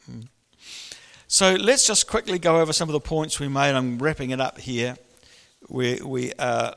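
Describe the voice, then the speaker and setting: A middle-aged man preaching a sermon to his church with a gravelly voice, telling stories animatedly and breaking into laughter. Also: fairly high pitch. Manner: animated storytelling with bursts of laughter. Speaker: pastor. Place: church hall. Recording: long church reverb.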